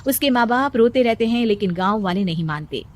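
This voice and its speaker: A woman narrating a storybook cartoon in a steady storytelling manner. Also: fairly high pitch, fairly quick.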